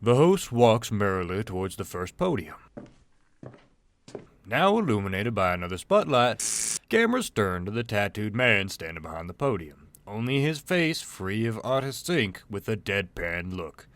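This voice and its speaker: A man's voice: fairly low pitch, sing-song, normal speed, fairly bright tone, a little hiss or hum. A man reading scripted scene narration for an audio drama.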